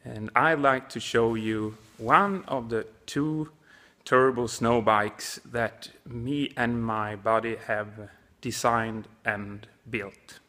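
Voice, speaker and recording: A man in his thirties with a Swedish accent, giving an informal walkaround explanation in halting, non-native English as he searches for words. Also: mid-range voice, bright, forceful tone. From Sweden. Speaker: home builder presenting his project. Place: indoor workshop garage.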